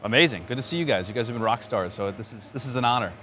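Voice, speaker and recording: A man's voice: medium pitch, very fast, bright, forceful tone. A man from New York, a radio sports announcer, answering interview questions in a conversational storytelling way. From New York, United States. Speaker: baseball radio play-by-play announcer. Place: outdoors at a spring training ballpark. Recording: clear background noise, outdoor ambience.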